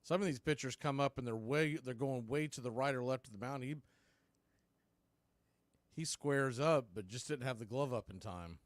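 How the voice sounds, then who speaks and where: A man calling live baseball play-by-play in an excitable, folksy radio style. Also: medium pitch, moderate pace, no room sound. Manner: excitable, folksy exclamations on big plays.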